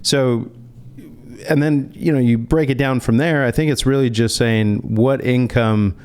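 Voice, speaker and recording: A man's voice: mid-range voice, steady tone, unhurried, darkish tone, clean tone. A man speaking as a financial advisor in a conversational interview, explaining step by step and posing rhetorical questions.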